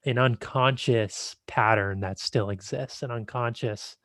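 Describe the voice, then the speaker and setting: A man's voice: medium pitch, a little slow, fairly bright tone, no room sound. A man of twenty-five hosting a podcast, chatting in an easygoing, agreeable way with loose fillers. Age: 20s. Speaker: podcast host. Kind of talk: casual interview chat.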